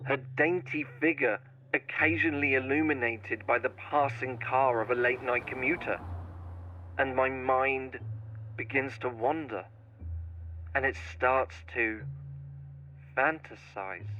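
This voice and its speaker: A man playing a brooding, obsessive horror writer who turns menacing in a slow, deliberate first-person scripted monologue with dramatic pauses. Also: medium pitch, sharp tone, lo-fi sound. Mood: dark musing.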